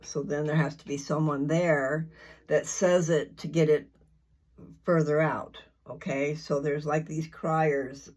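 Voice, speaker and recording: Older folksy person just chatting along, real slow and halting, husky voice, rambles a bit. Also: mid-range voice, level tone, a little mellow. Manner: folksy, informal, meandering speech; halting, searching for words. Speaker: host of a scripture reading channel. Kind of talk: rambling casual chat before a reading.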